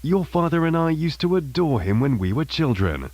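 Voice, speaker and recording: Man playing a sinister uncle, soft-spoken, quietly threatening, animated horror short, lively pitch. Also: moderate pace, dry recording. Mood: fond.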